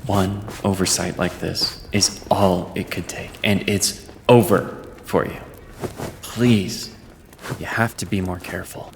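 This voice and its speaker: An actor playing a stern, protective officer in an audio fantasy drama, speaking in a curt, controlled, authoritative way. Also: male voice, low voice, fairly even intonation, takes it slowly, gravelly, slight background noise, exaggerated voice.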